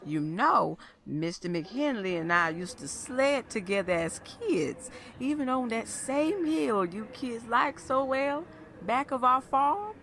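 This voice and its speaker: An actress playing a kindly, gently teasing old wife, neighborly and fond, in a family audio drama. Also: female voice, on the high side, expressive, slow pace, slight background noise, exaggerated voice. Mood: fond, friendly.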